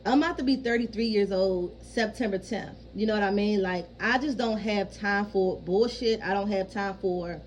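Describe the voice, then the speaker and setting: A woman's voice: mid-range voice, moderate pace, hardly any pauses, slight background noise, low-quality recording. A woman in her thirties talking frankly in a casual personal vlog, swearing freely and often checking in with her listeners. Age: 30s. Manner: rambling, keeps asking "you know what I mean". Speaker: vlogger.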